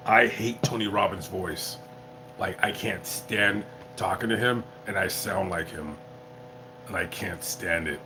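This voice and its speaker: A tipsy man live-streaming as a YouTuber, chatting casually in a rambling, halting way full of restarts. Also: deep voice, background noise. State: tipsy from drinking. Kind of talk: casual livestream chat.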